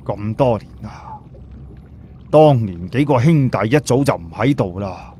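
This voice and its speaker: A man's voice: mid-range voice, expressive, a little slow, mellow, a little hiss or hum, close to an everyday voice. A man with a Cantonese accent voicing an elderly village chief, earnest, proud and plain-spoken as he reminisces. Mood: reminiscing, assured.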